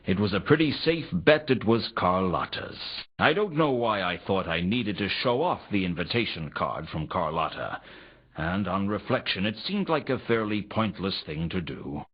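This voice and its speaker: Noir game gumshoe: world-weary man, dry wit, low and slightly husky, swinging intonation. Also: exaggerated voice. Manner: deadpan, dryly sardonic. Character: wry, world-weary male private detective narrating his case. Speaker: detective narrator of a noir adventure game. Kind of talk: scripted first-person noir narration. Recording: dull, lo-fi ripped game audio.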